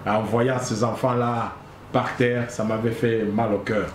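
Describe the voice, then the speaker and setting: A man from Congo tells his story as an interview guest, earnest and halting, often repeating himself. His voice sits at an ordinary pitch. It sounds like an echoey room with a faint hiss underneath. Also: little pitch movement. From Congo (DRC). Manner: earnest, repeats phrases, many hesitations. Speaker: guest, association founder. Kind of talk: interview, telling a personal story.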